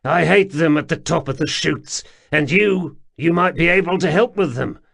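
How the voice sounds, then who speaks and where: A man voicing an excitable, wheedling grimy male cave-dweller who talks loudly and pleads. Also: on the high side, normal speed, vocal fry, slightly rough, pitched above the performer's own voice, heavily acted. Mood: agitated.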